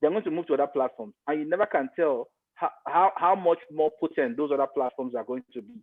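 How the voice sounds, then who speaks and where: A man's voice: on the high side, fast. Nigerian man on a phone-like line. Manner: emphatic, repeats phrases for emphasis. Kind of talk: opinionated podcast discussion.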